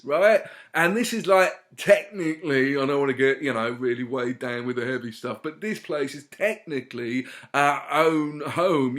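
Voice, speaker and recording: A man's voice: on the high side, a bit bright, close to an everyday voice. A man voicing a grinning, laid-back fairy who rambles in drawling hippie slang.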